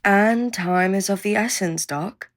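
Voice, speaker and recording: A woman's voice: medium pitch, unhurried, slight background noise, natural voice. A woman acting a snarky, impatient scientist with a blunt, sarcastic manner in an audio roleplay. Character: snarky, impatient female scientist, grudgingly welcoming.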